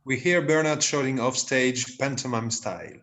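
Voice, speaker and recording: A man's voice: medium pitch, moderate pace, fairly bright tone. A man giving scripted narration, reading out the stage directions of a comedy play.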